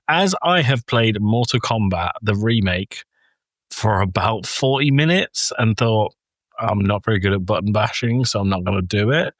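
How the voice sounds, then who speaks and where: A man's voice: on the low side, fairly bright tone, no echo. Casual male podcast co-host, dry close sound. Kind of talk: casual film discussion chat.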